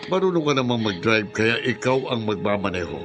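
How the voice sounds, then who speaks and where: A man's voice: on the low side, sing-song, a little fast, music in the background. An actor with a Filipino accent playing a threatening, taunting, vengeful gunman in a radio drama. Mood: menacing. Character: menacing gunman, vengeful man taking a hostage.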